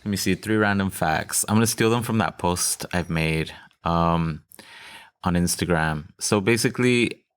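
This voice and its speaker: Deep-voiced man chatting loosely. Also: normal speed, clean tone. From Mexico. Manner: chatty, laughs easily, rambling asides. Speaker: podcast co-host. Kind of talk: casual chat.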